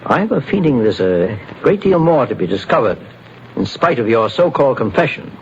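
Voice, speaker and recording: An actor playing a measured, authoritative private investigator in a 1940s-style radio drama. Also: male voice, medium pitch, big pitch swings, lo-fi sound. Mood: calm. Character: calm, shrewd male private investigator. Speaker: detective. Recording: hissy old radio broadcast.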